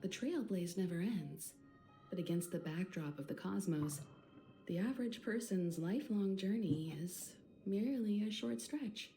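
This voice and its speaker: Composed, wise mentor over music. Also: female voice, medium pitch, animated, a little slow, mellow. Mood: solemn, reflective. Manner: composed, philosophical. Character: wise, gentle adult woman mentor in a space adventure. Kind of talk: video game voice acting. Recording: game audio under music.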